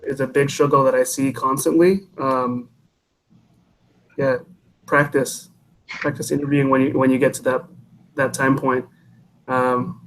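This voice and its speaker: Brief: man, late 20s, panelist at a college event, level monotone delivery, faint hiss behind. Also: medium pitch, poor audio. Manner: measured, advice-giving, with frequent um pauses. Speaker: engineering panelist. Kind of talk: panel answers to students.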